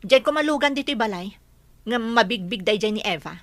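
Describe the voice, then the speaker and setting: A woman's voice: a little high, expressive, fairly quick, fairly bright tone, dry recording. A woman with a Filipino Ilocano accent playing an imperious, scheming woman in an audio drama. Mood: impatient, demanding. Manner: imperious, impatient, demanding. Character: scheming, bossy woman plotting against a relative.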